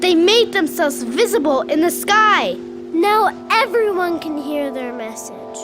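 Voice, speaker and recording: Young child narrator with a high voice. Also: animated, unhurried, over music. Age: child, about 9 or 10. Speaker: school pupil narrator in a radio drama. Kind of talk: scripted narration, read-aloud storytelling.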